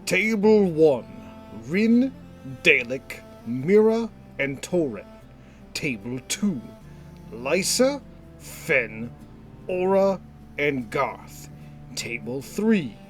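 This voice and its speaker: A man voicing a calm, authoritative guildmaster with a measured, commanding delivery, in a fantasy audio story. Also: fairly high pitch, faint music behind, voice pushed up, exaggerated voice. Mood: announcing names. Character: calm, authoritative scarred male guildmaster addressing recruits.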